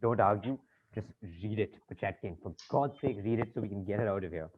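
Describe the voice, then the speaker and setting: A man's voice: mid-range voice, big pitch swings, a little fast, husky. An actor playing a fussy, exasperated bank manager in a comic play, stammering politely as his patience frays. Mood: weary, desperate. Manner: stammering, fussy, over-polite turning frantic. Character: flustered, exasperated male bank manager, polite but fraying. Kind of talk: comic stage-play acting. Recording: tinny band-limited audio, faint noise.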